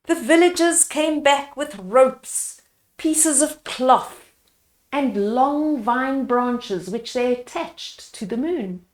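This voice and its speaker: A woman's voice: high voice, lively intonation, takes it slowly, echoey room. A woman reading a bedtime storybook aloud expressively, explaining it to young listeners as she goes. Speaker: children's story reader.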